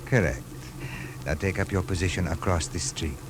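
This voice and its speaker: An actor playing a menacing, courtly foreign villain, formal and commanding, in a 1950s radio drama style. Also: male voice, sits low, normal speed, lightly acted. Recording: noisy 1950s radio broadcast recording.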